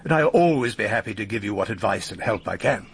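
Genial English solicitor, lively and husky. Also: male voice, fairly low pitch, heavily acted. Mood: genial, measured. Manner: measured, courteous, avuncular. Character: genial, shrewd older English solicitor and guardian. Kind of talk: radio drama acting.

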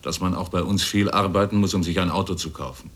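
Deep-voiced dry police investigator. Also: male voice, steady tone, fast talker, fairly bright tone, husky. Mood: probing, dry. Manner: dry, probing. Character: dry, unsentimental police investigator, sceptical and blunt. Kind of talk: German radio drama acting.

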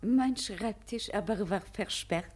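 An actress playing a cool, coy woman under interrogation in a German radio play, speaking with measured, quiet irony. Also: mid-range voice, breathy edge. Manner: measured, knowing, quietly ironic. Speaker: actor.

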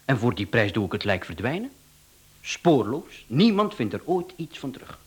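A professional male hitman in a radio drama. His voice is a little husky and swings widely in pitch. He is businesslike and formal, proud of his trade and quick to take offence. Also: very animated. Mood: businesslike, insistent. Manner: formal, smooth-talking salesman patter, indignant. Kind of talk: radio play acting.

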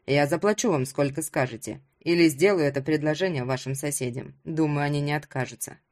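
A proud, wealthy man stranded far from home, acted by a woman narrator, speaking in a low register. He is demanding and sardonic, with little patience, in audiobook style. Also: brisk, a little mellow, natural voice.

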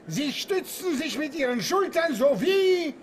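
A man's voice: very high voice, sing-song, a little slow, background noise, exaggerated voice. A man playing a bombastic, haggling circus director in a radio play, with a theatrical, grandiose delivery. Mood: grandly announcing.

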